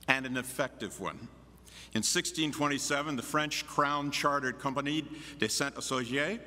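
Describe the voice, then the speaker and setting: An older North American man gives a formal lecture at a measured, deliberate pace. His voice is bright and firm, at a middling pitch. It rings through a large chapel with long reverb. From United States, Washington DC. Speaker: visiting academic speaker. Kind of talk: formal lecture read from manuscript. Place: large church chapel, at lectern.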